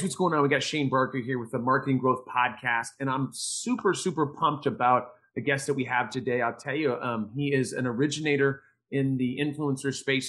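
A man's voice: mid-range voice, a bit bright. A man hosting a podcast, talking in an informal, effusive and wordy way. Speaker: podcast host. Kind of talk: casual interview chat.

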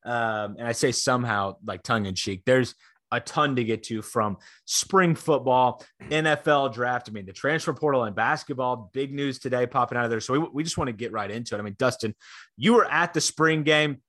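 A man's voice: medium pitch, brisk, fairly bright tone, no echo. A young man hosting a casual sports podcast, laid-back and rambling, often restarting his sentences. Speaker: podcast host.

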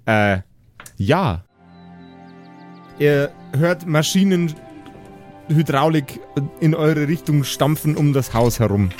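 Man, game master, slightly high voice, halting improvised narration, music behind. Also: lively intonation, darkish tone. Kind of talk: improvised narration of a tabletop audio play.

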